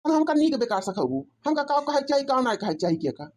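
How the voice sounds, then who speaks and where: A woman plays a spoiled, tyrannical young man in a cartoon comedy. The voice is fairly high and swings widely in pitch. He scolds haughtily in an Awadhi accent. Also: very animated, a little fast, darkish tone. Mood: furious, haughty. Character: arrogant, tyrannical spoiled younger brother-in-law, adult man.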